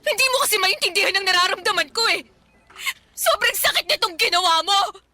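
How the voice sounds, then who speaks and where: A woman's voice: very high voice, lively intonation, a little fast, very noisy. A woman playing a heartbroken, clingy young ex-girlfriend in a radio drama, pleading and indignant with dramatic emotion. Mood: desperate, agitated. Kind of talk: Filipino radio drama acting. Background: background music.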